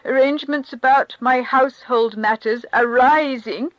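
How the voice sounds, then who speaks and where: Woman reading a crushed official, thin voice, strained, pleading, slow. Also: mid-range voice, fairly bright tone, no room sound, lo-fi sound. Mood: anguished. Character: grieving humiliated middle-aged male official. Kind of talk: audiobook character reading.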